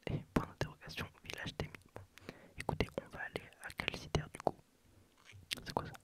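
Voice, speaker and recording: A man with a head cold whispering a rambling, soft ASMR game playthrough close to the mic. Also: fairly quick. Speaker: gameplay ASMR creator. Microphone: close ASMR microphone.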